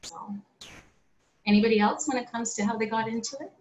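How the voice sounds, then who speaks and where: A woman's voice: a little high, steady tone, brisk. A woman optometrist talking on a panel over a video call, conversational and often adding "you know". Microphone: video call webcam. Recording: echoey room with faint background hiss.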